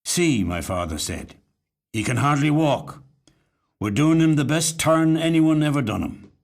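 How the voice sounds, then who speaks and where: A man's voice: fairly high pitch, lively intonation, a little slow, pitched above the performer's own voice. Guy doing a stern country dad in a story, curt, bossy, no sentiment at all. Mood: unsentimental. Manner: curt, commanding. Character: hard, matter-of-fact country father, impatient and unsentimental.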